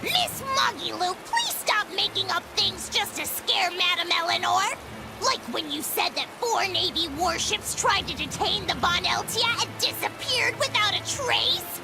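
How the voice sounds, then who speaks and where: A little creature servant from a video game with an extremely high, childlike voice. It is earnest, clingy and melodramatic, drawing its words out slowly. Prominent game music plays behind it. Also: female voice, lively intonation, full character voice, poor audio. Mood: pleading. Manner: gushing, theatrical.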